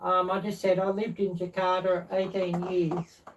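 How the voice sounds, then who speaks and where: A middle-aged woman chatting casually and unscripted as a live-stream cooking host, halting and rambling as she searches for words. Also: on the low side, little pitch movement. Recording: distant mic, clear background noise.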